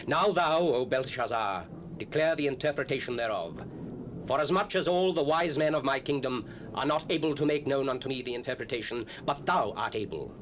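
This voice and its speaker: A man's voice: mid-range voice, animated, a little fast, fairly bright tone, lightly acted, poor audio. A proud ancient king, played by a man, speaks with grand, stately command. There is a faint hiss from the old tape behind him. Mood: regal. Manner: stately, declamatory.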